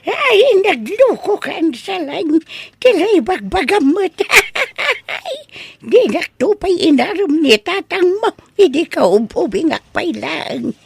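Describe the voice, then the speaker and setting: Woman playing a very high, creaky, scolding old spinster. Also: sing-song, takes it slowly, pauses often, dry recording, full character voice. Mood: amused scolding. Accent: Ilocano. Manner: exclaiming, teasing, breaking into cackles. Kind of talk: Ilocano comedy audio drama acting.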